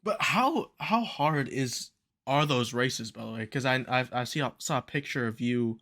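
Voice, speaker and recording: A man hosting a casual interview, asking questions in a halting way with fillers. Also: mid-range voice, expressive, a bit bright. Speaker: podcast host.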